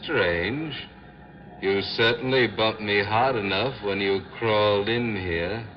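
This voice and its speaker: An actor playing a vengeful dead man come back as a ghost, slow, ominous and taunting in 1940s radio horror style. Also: lowish voice. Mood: sly, knowing. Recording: dull 1940s radio recording with music.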